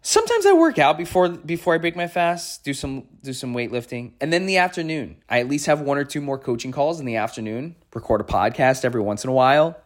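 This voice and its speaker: A man's voice: fairly high pitch, very animated, fast talker, hardly any pauses. A man in his thirties with an American accent, giving an impromptu motivational podcast monologue as a life coach, repeating phrases for emphasis. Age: 30s.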